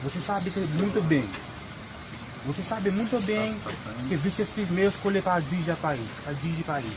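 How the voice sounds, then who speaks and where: A man's voice: high-pitched, normal speed. A man with a Haitian accent publicly confronting someone, speaking emphatically and insistently and repeating his statements. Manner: emphatic, repetitive. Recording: noisy outdoor crowd recording. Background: crowd and ambient noise.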